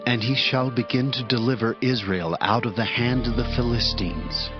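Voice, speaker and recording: A man playing a solemn, gentle angel in a dramatized scripture reading, making measured pronouncements. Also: mid-range voice, highs cut off, over music, lightly acted.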